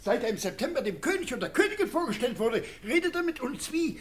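An actor in a German radio play playing a stern, exasperated nineteenth-century schoolteacher with a demanding, indignant manner. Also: male voice, extremely high pitch, sing-song, fast, a little hiss or hum.

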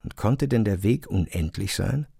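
A man narrating a literary radio play from a script in a measured storytelling manner. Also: on the low side, expressive, creaky, dark tone, slightly rough, no room sound, clean studio sound. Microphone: close studio microphone.

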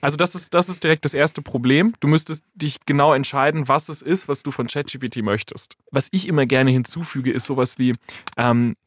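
A man's voice: mid-range voice, fairly quick, no echo, clean studio sound. A man explaining things casually as an expert guest on a podcast, thinking aloud as he gives hands-on advice.

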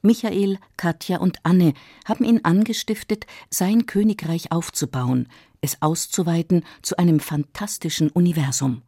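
Husky, mellow woman narrating. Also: fairly low pitch, moderate pace, dry recording, clean studio sound. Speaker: narrator of a radio feature. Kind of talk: scripted narration.

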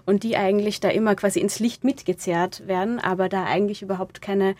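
Mellow-voiced woman, searching as she talks. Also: medium pitch, steady tone, fairly quick. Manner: hesitant, searching, repeats words mid-thought. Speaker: writer, interview guest. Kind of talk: interview conversation.

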